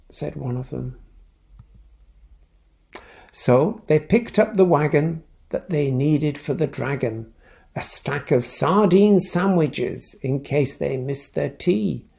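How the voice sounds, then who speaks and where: A man reading a picture book aloud for children, with expressive, playful storytelling and little asides. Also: fairly high pitch, creaky.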